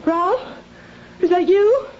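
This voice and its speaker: An actress playing an anxious, guilt-ridden wife in a 1970s radio drama, defensive, emotional and pleading. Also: female voice, very high-pitched, expressive, slow pace, heavily acted. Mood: nervous evasive. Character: anxious, guilt-ridden wife ashamed of her compulsive stealing. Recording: dull, lightly noisy old radio recording.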